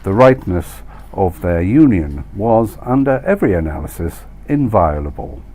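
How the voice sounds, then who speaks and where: A man reading his own short story as scripted literary narration, measured and wry, with dramatic emphasis. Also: sits low, very animated, dark tone.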